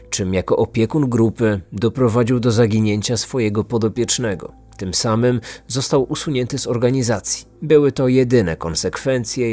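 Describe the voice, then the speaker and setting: A man's voice: fairly low pitch, darkish tone. A man narrating a scripted true-crime podcast as its host, in documentary style. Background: music.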